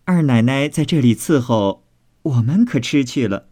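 A man's voice: mid-range voice, expressive, normal speed, mellow, natural voice. A man voicing a cheeky, spirited young maidservant in a storybook, saucy and mock-indignant with many exclamations. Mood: cheerful.

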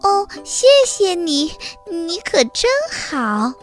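A woman's voice: high-pitched, lively intonation, measured pace, fairly bright tone, soft background music, pitched above the performer's own voice. A woman voicing a kind, hospitable country mouse in a children's story, exclamatory and welcoming. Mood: overjoyed.

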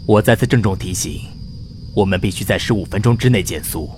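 Male actor as stern ship's officer, very low voice, formal measured reports, radio drama, humming noisy background. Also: darkish tone, natural voice. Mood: grave warning. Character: stern, cautious spaceship officer reporting to his captain.

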